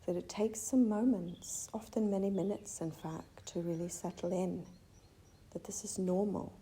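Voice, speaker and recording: A woman teaching guided meditation in a gentle, measured manner, leaving long pauses between phrases. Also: on the low side, steady tone. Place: retreat venue. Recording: faint background ambient noise.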